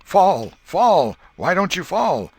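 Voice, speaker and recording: A man's voice: high voice, sing-song, takes it slowly, darkish tone, breathy edge, no room sound, voice pushed up, heavily acted. A man voicing an imaginative, bossy schoolboy in a storybook, declaiming like an outlaw hero in rustic boyish dialect. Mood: insistent.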